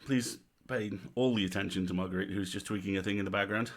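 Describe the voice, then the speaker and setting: Man, low-pitched voice, casual livestream host, wry and playful delivery. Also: steady tone, quick pace.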